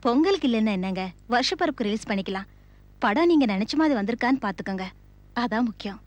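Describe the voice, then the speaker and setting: A woman's voice: on the high side, animated, fast, dry recording, natural voice. A woman playing an anxious, deferential wife in a television serial, speaking in a coaxing, tentative way. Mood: pleading advice. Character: anxious, deferential young wife of a film producer. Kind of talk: Tamil television serial acting.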